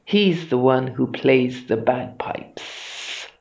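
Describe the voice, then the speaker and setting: A storyteller voicing a vain, scheming female monster queen from a children's storybook, boastful and scornful, with hissing, drawn-out words. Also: mid-range voice, very animated, creaky, reverberant, dull audio, heavily acted.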